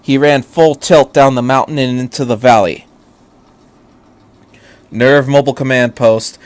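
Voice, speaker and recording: Guy reading an action story out loud, earnest and dramatic, middle pitched voice. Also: steady tone, a little slow, smooth. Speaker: fan fiction reader.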